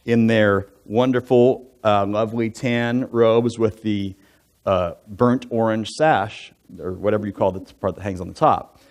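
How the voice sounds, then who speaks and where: A man in his forties, an elder from the United States, telling his life story to a church congregation in a relaxed, conversational way, full of asides and jokey fun facts. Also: fairly low pitch, measured pace. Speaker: church elder giving a testimony. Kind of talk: personal testimony talk from the pulpit. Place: church sanctuary.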